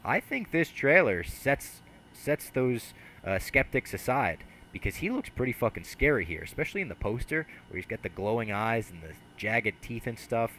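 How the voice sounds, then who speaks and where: A man's voice: medium pitch, sing-song, moderate pace, pushed and bright, a little hiss or hum. A young man hosting a podcast, chatting casually over a headset mic with frequent laughs and casual swearing. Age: young adult. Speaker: podcast host. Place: small room with brick wall. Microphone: headset mic on video call.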